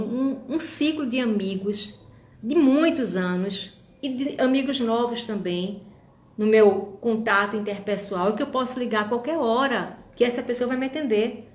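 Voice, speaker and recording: Woman, 50s, upbeat reflective vlogger speaking to camera in an echoey room. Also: fairly high pitch. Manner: warm, encouraging, reflective, addresses viewers directly. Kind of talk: motivational monologue to viewers.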